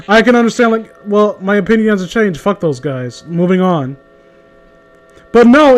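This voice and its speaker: A man chatting casually in a blunt, opinionated way with plenty of swearing. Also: high-pitched. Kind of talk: casual chat.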